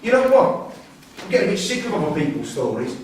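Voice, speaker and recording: A man's voice: on the high side, very animated, quick pace. A man playing a volatile, resentful prisoner with a confrontational, menacing manner in a staged drama reading. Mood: aggrieved. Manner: confrontational, aggrieved. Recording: very echoey hall, distant mic, hiss.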